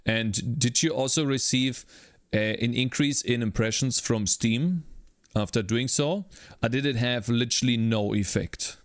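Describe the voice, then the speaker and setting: A man chats casually while coding on a live stream, thinking aloud and greeting viewers one by one. His voice sits at an ordinary mid pitch. The sound is close and dry, with keyboard typing heard behind him. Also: a little slow, no room sound. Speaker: livestreaming game developer.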